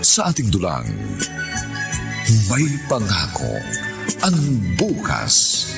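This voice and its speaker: A man's voice: fairly low pitch, wildly swooping pitch, slow, vocal fry, music in the background. A man announcing a radio drama in dramatic scripted narration.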